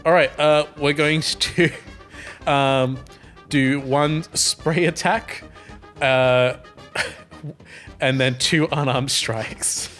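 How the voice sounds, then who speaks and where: A man's voice: on the high side, steady tone, extremely slow, long pauses, a bit bright, faint music behind. A man chatting casually as he plays an improvised tabletop game, thinking aloud in hesitant, broken phrases.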